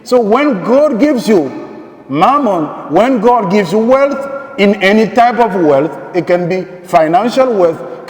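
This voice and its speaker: A man's voice: sits high, a little mellow. A middle-aged man from the Democratic Republic of Congo, a priest preaching a sermon with repeated, emphatic phrases and rhetorical questions. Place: large church, indoors. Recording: echoey church with long reverb.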